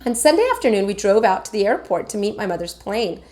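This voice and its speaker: A woman teacher reading a children's chapter book aloud with expressive, animated storytelling. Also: medium pitch, quick pace.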